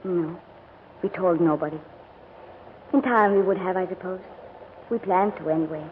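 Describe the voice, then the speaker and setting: A woman's voice: on the low side, moderate pace, phone-quality sound, natural voice. Woman acting a sorrowful young Frenchwoman, thin radio sound. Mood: wistful grief. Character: sorrowful young Frenchwoman, brave, earnest, devoted. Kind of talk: 1940s radio drama acting. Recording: thin, hissy old radio recording.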